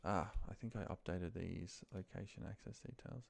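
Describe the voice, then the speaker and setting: A man thinking aloud in a muttered, pausing way as he talks through spreadsheet work on his computer. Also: low voice, gravelly, a little mellow. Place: at a computer desk, indoors.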